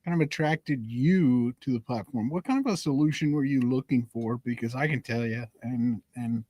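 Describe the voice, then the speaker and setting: A man's voice: medium pitch, normal speed, a little mellow, no room sound. Male podcast host, dry close sound. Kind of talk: conversational interviewing.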